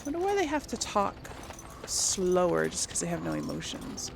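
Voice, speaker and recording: Woman gamer with creaky voice, chatting casually. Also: lowish voice, very animated, moderate pace, over music. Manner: rambling, thinking aloud. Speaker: let's play host. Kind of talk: casual gameplay commentary, talking to viewers.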